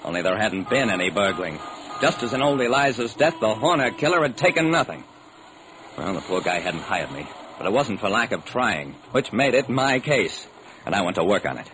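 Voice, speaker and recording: An actor playing a brisk, determined hard-boiled male private detective, narrating and acting in a classic radio crime drama. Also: lowish voice, sing-song, noisy background. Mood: wry, brisk. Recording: old, slightly rough radio recording.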